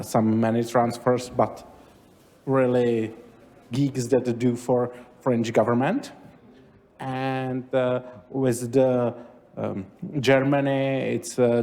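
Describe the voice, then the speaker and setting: A man speaking at a conference talk, halting and searching for his words. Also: mid-range voice, dark tone. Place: lecture hall. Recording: long reverb of a hall.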